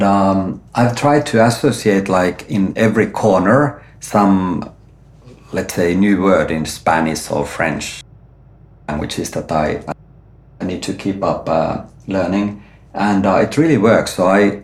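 Deep-voiced man hosting with many fillers. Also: slow pace. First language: not Swedish; Finnish-sounding name, origin unstated. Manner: hesitant, many fillers and restarts. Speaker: podcast host. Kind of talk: conversational interview. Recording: roomy, echoey sound.

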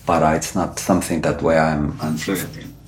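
Deep-voiced man hosting with many fillers. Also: level tone, normal speed, slight background noise. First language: not Swedish; Finnish-sounding name, origin unstated. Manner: hesitant, many fillers and restarts. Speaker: podcast host. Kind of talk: conversational interview. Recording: roomy, echoey sound.

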